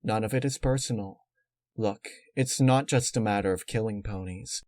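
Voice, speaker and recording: A man voicing a cold, calculating female unicorn villain who explains menace in a measured, matter-of-fact way. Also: on the low side, a little mellow, dry recording, close to an everyday voice. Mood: cold, explaining.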